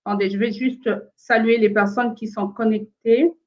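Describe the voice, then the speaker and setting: A woman from France with Congolese roots hosts a casual live stream from her home. Her voice sits a little high, and she talks haltingly, with many fillers, as she works through sound problems with her callers. The audio is dull and lo-fi. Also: steady tone, a little mellow. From France, of Congolese origin.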